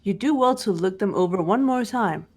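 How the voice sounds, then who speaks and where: An amateur actress voicing a composed, analytical young female detective in a visual novel game, measured and matter-of-fact. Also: mid-range voice, darkish tone, natural voice. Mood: cool, analytical. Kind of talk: fan-dubbed visual novel game acting.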